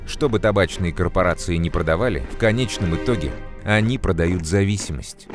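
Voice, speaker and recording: A man voicing a deep-voiced calm cartoon scientist. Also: over music, lightly acted. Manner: measured, instructive, earnest. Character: calm adult male scientist explaining to children. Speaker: explainer. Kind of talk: scripted educational narration.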